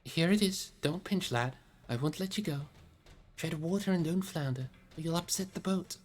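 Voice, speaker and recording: A reader voicing a gentle but resolute male hobbit gentleman in a storybook, well-spoken and fond of his servant. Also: medium pitch, moderate pace, voice pushed up, natural voice. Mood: reassuring, urging.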